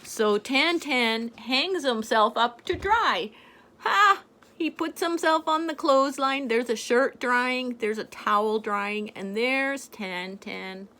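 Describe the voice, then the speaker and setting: An older woman reading a picture book aloud to a child in a gentle, playful way, pointing out the pictures as she goes. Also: a little high, slow. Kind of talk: storybook read-aloud to a child.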